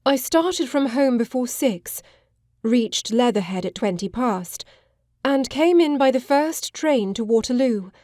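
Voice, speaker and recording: An actress playing a frightened, distraught Victorian gentlewoman of thirty in an audiobook drama, with a formal, pleading manner. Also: fairly high pitch, expressive, measured pace, no room sound, studio quality. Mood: desperate, pleading. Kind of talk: full-cast audiobook drama acting.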